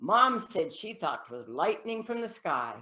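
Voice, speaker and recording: A woman's voice: mid-range voice, unhurried. Woman reciting as a gleeful, mischievous country kid. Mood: gleeful, boisterous. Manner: animated folksy dialect recitation with dropped deadpan refrains. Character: mischievous gleeful country kid telling tall tale about sister's courting. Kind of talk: comic recitation poem, campfire storytelling.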